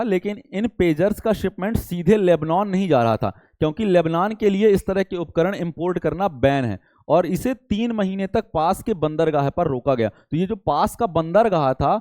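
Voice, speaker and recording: A man's voice: high voice, a little mellow, dry recording. A man teaching a current affairs lesson in a didactic way, often checking in and posing rhetorical questions. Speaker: teacher for competitive exam students.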